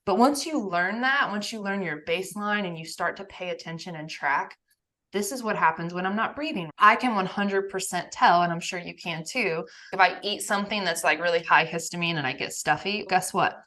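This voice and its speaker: Female podcast host, lower register, animated and emphatic delivery. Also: normal speed. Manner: emphatic and animated, laughs often. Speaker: podcast host, nervous system coach. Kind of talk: casual podcast conversation.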